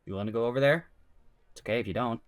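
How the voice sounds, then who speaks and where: Woman as protective teen, calm, considerate, low-key, very deep female pitch, audio drama. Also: very fast. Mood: calm concern. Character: calm, protective teenager looking out for friends.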